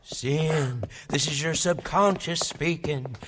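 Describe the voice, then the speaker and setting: An actor playing a goofy, teasing young man who cracks crude jokes, speaking in a loud, playful way in an audio drama. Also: fairly high pitch, takes it slowly, husky, full character voice. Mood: joking.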